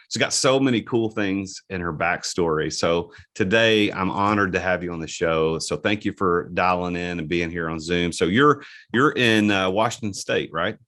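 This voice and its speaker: A man's voice: deep voice, fairly bright tone. A man hosting a podcast, chatting casually with a guest over a video call, rambling with many restarts and easy laughs. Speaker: podcast host. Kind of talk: casual interview chat. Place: home studio, on a video call.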